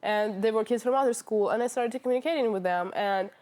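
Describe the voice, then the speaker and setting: Brief: young woman from Ukraine, native Ukrainian speaker, interview guest, chatty storyteller, fairly high voice. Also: quick pace, darkish tone. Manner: chatty storytelling with fillers and laughs. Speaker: guest, former exchange student. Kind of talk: casual video interview.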